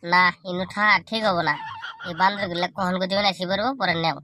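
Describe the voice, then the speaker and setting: High-pitched, whiny cartoon village prankster. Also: male voice, fairly even intonation, a little hiss or hum. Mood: cheeky, hurried. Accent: rural regional Bengali. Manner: exaggerated, grumbling, slangy, with drawn-out wails. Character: cheeky, jobless young village prankster, self-pitying and whiny. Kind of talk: comic cartoon monologue. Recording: loud music under the voice. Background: loud music.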